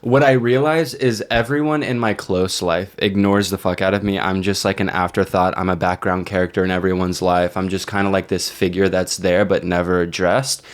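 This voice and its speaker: Young male podcast co-host, deep clean voice, rambling mock-serious banter. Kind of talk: casual comedic banter.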